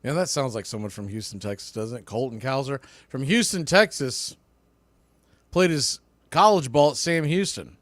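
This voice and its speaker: A man's voice: medium pitch, normal speed, a bit bright, no room sound. A man doing live baseball play-by-play in clipped short phrases, with casual asides.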